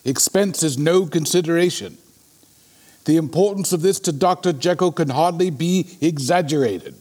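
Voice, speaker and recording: A man playing a sober, sceptical Victorian gentleman in an audio drama, with a measured, formal, questioning manner. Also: on the high side, lightly acted. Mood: reading aloud. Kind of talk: dramatic audio play acting.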